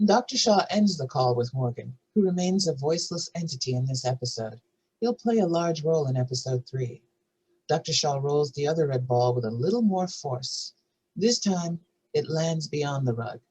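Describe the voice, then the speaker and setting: A man's voice: a little high, lively intonation, normal speed, a little mellow, breathy edge, low-quality recording. A man giving scripted narration, reading out stage directions for a drama table read.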